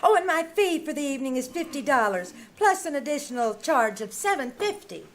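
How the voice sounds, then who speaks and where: A woman's voice: high-pitched, very animated, a little fast. A woman playing a coy, sassy dancer who is brazenly businesslike, in an old-time radio comedy. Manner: coy, suggestive, teasing.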